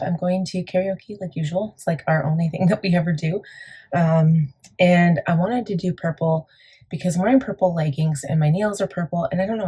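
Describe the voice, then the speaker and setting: A woman with a gravelly morning voice, chatting casually through a makeup tutorial with lots of fillers. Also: dark tone. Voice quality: gravelly, deep. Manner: chatty, rambling, many fillers. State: morning voice, a bit crackly. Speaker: beauty vlogger.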